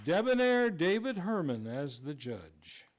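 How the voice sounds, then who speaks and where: A man with a slightly high voice announces an old-time radio show. His delivery is formal, scripted and theatrical, with faint noise behind him. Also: sing-song, unhurried, a faint crowd.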